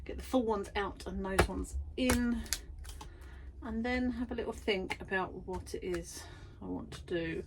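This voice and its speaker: A woman's voice: mid-range voice, a little slow, noisy background. A woman vlogging from her garden shed studio, chatty and self-deprecating, tired after busy weeks. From United Kingdom. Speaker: vlogger and art workshop tutor. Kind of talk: casual chatty vlog.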